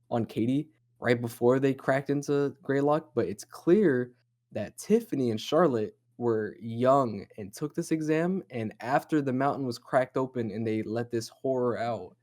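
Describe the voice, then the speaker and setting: Mellow-voiced man chatting through horror lore. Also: mid-range voice. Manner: rambling, profane, speculative. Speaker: host of a horror discussion show.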